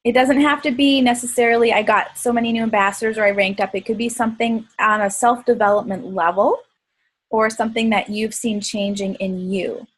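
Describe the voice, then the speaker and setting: A woman with a fairly high voice leads a team video call, upbeat and full of praise. She chats casually and drops in quick asides. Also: steady tone. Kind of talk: encouraging team call chat.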